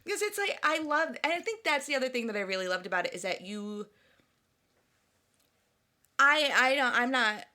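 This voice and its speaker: A woman's voice: sits high, lively intonation, normal speed, long pauses, sharp tone. A woman co-hosting a podcast in casual chat, giggly and chatty, often restarting her sentences. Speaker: podcast co-host.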